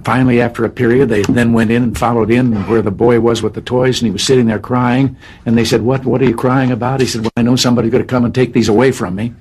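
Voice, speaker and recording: A man's voice: medium pitch, steady tone, quick pace, darkish tone, slight background noise, low-quality recording. A man telling a joke as a story, acting out the dialogue.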